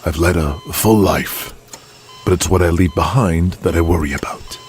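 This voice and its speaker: Casting: elderly wrestling patriarch on his deathbed acted by a man, deep, grave, weary, fatherly. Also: normal speed, close to an everyday voice. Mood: grave, worried. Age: older.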